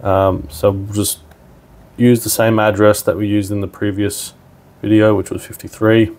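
A man's voice: fairly low pitch, level tone, normal speed, darkish tone. A man narrating a hands-on product tutorial in an informal, step-by-step way. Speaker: product demonstrator.

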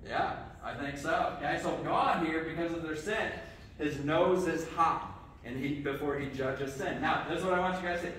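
Pastor preaching from across a church. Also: male voice, on the high side, moderate pace, slight background noise. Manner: lively, asks the kids questions, says okay often. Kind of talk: children's sermon. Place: church sanctuary with congregation. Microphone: far camera. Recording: echoey church from far off.

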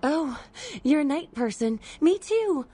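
An actress playing a devoted, naive young college student in a video game, speaking in a hesitant, pleading way. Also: female voice, high-pitched, animated, slow pace, warm tone. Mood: eager, adoring. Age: young adult.

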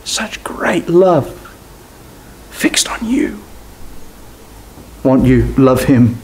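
A young man preaching a sermon at a lectern, animated and gesturing, dropping to near-whispers at times. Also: mid-range voice, drawn-out words, a little hiss or hum. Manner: animated, gesturing preaching with sharp drops to quiet. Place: church hall at a lectern. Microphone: lectern mic from a distance. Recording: echoey church room.

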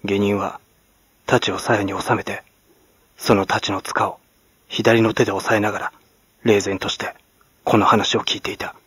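A man from Kagoshima, Japan, narrating classic literature as a dramatic audiobook in a grave, measured manner. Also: fairly low pitch, little pitch movement, fairly bright tone, husky, no echo. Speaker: actor, narrator. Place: at home, close and dry.

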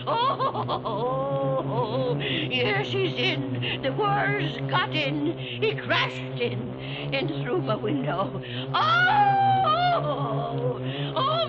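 A cackling, half-mad old lighthouse keeper, drawling slowly in folksy rustic grammar as her voice leaps wildly from low to high. It is a spooky storybook record, dull and lo-fi, with music playing clearly behind her. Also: heavily acted.

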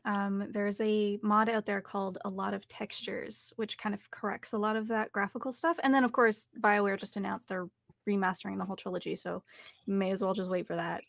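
A woman's voice: mid-range voice, level tone, fairly quick, creaky, no echo, studio quality. A young adult woman, chatting casually as an interview guest. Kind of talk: casual chat.

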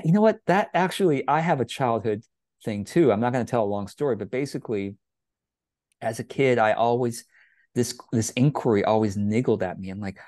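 A middle-aged man hosting a casual interview on a video call, talking with warmth and enthusiasm from a room at home through an earphone mic. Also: lowish voice, no echo, studio quality. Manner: warm, enthusiastic, gestures a lot while talking. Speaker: host.

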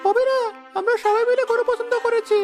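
A woman's voice: extremely high pitch, fairly even intonation, brisk. A woman voicing an outspoken poor villager in a moral cartoon. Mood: friendly. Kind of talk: Bengali moral cartoon dialogue. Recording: faint music behind the voice.

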